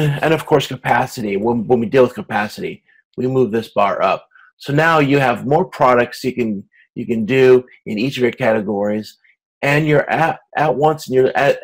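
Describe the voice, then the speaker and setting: Male course lecturer, mid-pitched voice. Informal, explanatory delivery that restarts and rewords phrases along the way. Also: breathy edge, rough recording. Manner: halting, repeats and rephrases himself as he explains.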